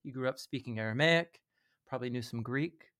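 A man with a mid-pitched voice explains ideas as a podcast guest. He speaks like a teacher in conversation, thoughtful and earnest, often with a small chuckle. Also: a little fast, a bit bright, clear, resonant tone.

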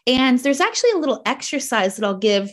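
Woman, New Jersey accent, 30s, earnest, expressive, fairly high voice, podcast guest. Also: fast. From New Jersey, United States. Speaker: guest, coach. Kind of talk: podcast conversation.

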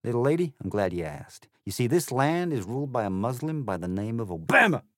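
An actor playing a tall, brash male cowboy hare who talks in a slow drawl. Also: mid-range voice, big pitch swings, fairly bright tone, no echo, studio quality. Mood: fired up.

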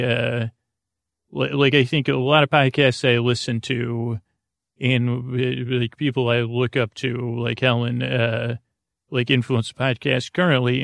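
A man's voice: mid-range voice, creaky, no echo, clean studio sound. A man hosting a podcast in a rambling, casual monologue, hesitant, often trailing off and doubling back. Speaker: podcast host.

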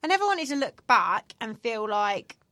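A woman's voice: on the high side, very animated, normal speed, bright, forceful tone, dry recording. A woman in her thirties, chatting candidly as a podcast co-host with lots of fillers. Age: 30s. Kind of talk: casual chat.

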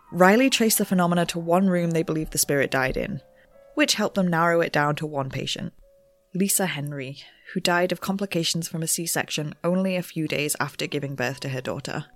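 An actress playing an eager, nervy young amateur ghost researcher, talking in wry, rambling audio notes for an audio drama. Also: female voice, low voice, close to an everyday voice. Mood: earnest, explanatory. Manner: rambling, wry, animated asides.

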